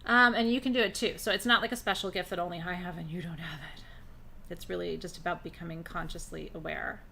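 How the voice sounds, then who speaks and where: A middle-aged woman with a naturally low voice teaching a relaxed, conversational class on spiritual practice, pacing her words deliberately. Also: vocal fry, a little hiss or hum. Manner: conversational, intentional pacing, laughs often. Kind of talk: live online class on shamanic drumming.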